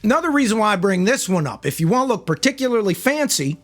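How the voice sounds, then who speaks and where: A hoarse man giving a relaxed, chatty banjo lesson, with banjo playing under his voice. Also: sits high, quick pace, faint music behind. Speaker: banjo teacher. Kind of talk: casual video tutorial. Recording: loud banjo over the voice.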